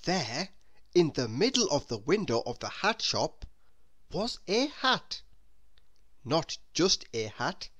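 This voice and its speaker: A woman narrates a children's storybook with hugely varied intonation. Her voice is bright and slightly low, and she takes her time over each phrase in a deliberate, expressive way. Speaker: storybook reader. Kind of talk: children's read-aloud narration.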